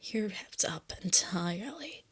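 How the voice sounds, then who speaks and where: A woman voicing a female lamia captor in an ASMR roleplay, soft and breathy, teasing her captive with smug, possessive tenderness. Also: medium pitch, animated, a little slow. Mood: whispered taunting. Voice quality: breathy, often dropping to a whisper. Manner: soft, taunting, condescending, intimate.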